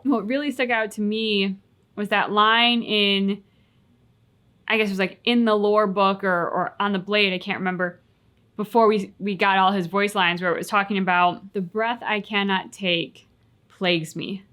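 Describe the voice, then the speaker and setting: A woman's voice: medium pitch, steady tone, a little slow, fairly bright tone. A woman co-hosting a casual reaction chat, talking reflectively and with emphasis on key words. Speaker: reaction channel co-host.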